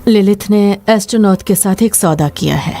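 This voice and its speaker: Woman as a mellow, guarded sorceress. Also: medium pitch, moderate pace, close to an everyday voice. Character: guarded sorceress, calm and secretive. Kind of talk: dramatic fantasy game dub.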